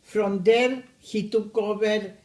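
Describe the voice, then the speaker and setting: A woman in her eighties, originally from Argentina, speaking English with an Argentine Spanish accent as she recalls her life in a family interview, with frequent pauses and hesitations. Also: medium pitch, fairly even intonation, dark tone. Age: 80s. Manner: slow, hesitant, many pauses. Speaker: grandmother interviewee.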